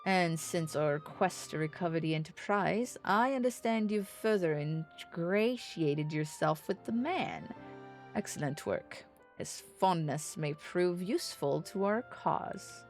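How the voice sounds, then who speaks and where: A woman voicing an earnest young nobleman diplomat from a fantasy game, with formal, measured, slightly pompous archaic speech. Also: on the low side, lively intonation, faint music behind. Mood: self-assured, scheming.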